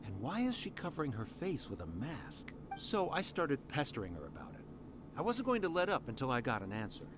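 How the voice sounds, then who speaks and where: Animated, earnest islander storyteller. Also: male voice, medium pitch, brisk. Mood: confiding. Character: earnest, confiding rescued islander man, trusting storyteller. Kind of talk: video game dialogue acting. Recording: noisy game stream capture.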